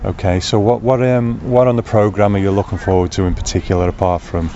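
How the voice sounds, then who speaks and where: Deep-voiced male interviewer in a noisy park. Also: fairly even intonation, fast. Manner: effusive, encouraging, chatty. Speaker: interviewer and podcast host. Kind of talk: casual on-location interview chat. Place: outdoors in a park. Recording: dull sound with loud outdoor ambience.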